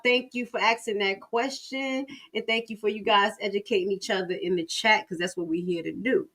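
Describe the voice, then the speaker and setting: An American woman reacts live as a stream host. She talks casually and colloquially, stretching words for emphasis and exclaiming often. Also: mid-range voice. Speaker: livestream host.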